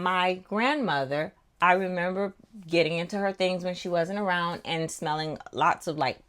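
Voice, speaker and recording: Middle-aged woman with a deep voice. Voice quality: naturally deep voice. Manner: chatty, folksy, addresses viewers as honey. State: voice affected by recent illness. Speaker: fragrance vlog host. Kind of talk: casual chat to viewers about perfume.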